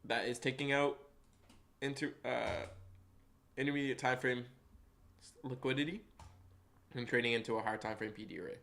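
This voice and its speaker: A man live-streaming day-trading commentary, hoarse and losing his voice, who chats with viewers and walks through charts in a casual way. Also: mid-range voice, moderate pace, pauses often, fairly bright tone. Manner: chatty, greets chat viewers by name.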